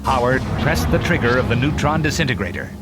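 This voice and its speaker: A man's voice: medium pitch, expressive, fast talker, heavy background noise. A man giving dramatic scripted narration for a children's read-along story. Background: loud music and sound effects.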